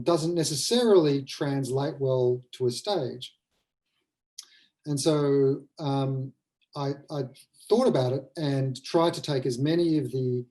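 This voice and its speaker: A man with a soft-edged, mellow voice speaks as a writer on a webinar. He is reflective and conversational. He often halts and repeats a phrase while he works out what he means. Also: medium pitch, poor audio. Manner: thoughtful, halting, repeats phrases as they form. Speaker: playwright adapting a novel for the stage.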